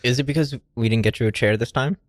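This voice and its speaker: A man speaks quickly in a close, dry recording. He plays along in improvised comedy banter as a podcast co-host, with a placating manner. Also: mid-range voice, dry recording.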